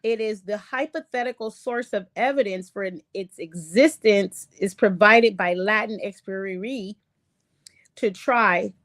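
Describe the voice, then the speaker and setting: A woman talks through a lesson from her slides, slow and unhurried, stopping often with ums and fresh starts. English is not her first language, and her voice sits at an ordinary middle pitch. The sound is dry and close, with no room around it. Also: a bit bright, dry recording. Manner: halting, many ums and restarts. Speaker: online show host. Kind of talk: casual lecture reading from slides.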